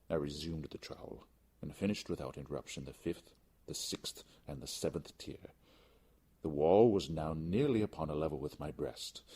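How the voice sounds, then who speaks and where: A man reading gothic fiction aloud as a dramatic audiobook narrator, theatrical, deliberate and intense. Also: a bass voice, darkish tone, breathy edge. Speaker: audiobook reader.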